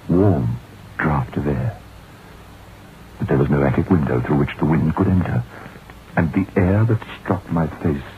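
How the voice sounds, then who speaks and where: An actor playing a troubled, curious writer who recounts his dread in a deliberate, suspenseful old-time radio horror monologue. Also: a bass voice. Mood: mounting fear. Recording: muffled, noisy vintage radio recording.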